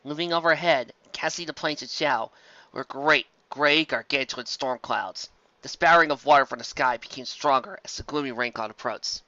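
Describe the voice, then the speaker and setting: Man, amateur story reader, animated narration, bright forceful tone, muffled lo-fi recording. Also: mid-range voice, normal speed, no echo. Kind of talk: expressive scripted storytelling narration.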